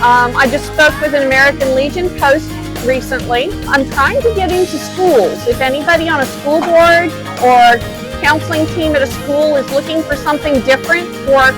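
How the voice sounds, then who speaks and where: A woman's voice: sits high, normal speed. A woman from the Czech Republic, a travel adventurer and speaker, answering interview questions in an open, earnest way. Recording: music playing underneath.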